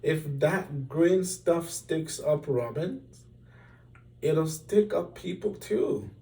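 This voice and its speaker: A man acts out an old storybook bell ringer, puzzled and fretful. His words come slowly and murmured, with a soft tone, a little distant from the microphone. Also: fairly high pitch, creaky, full character voice. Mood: alarmed. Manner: murmuring, gulping, alarmed.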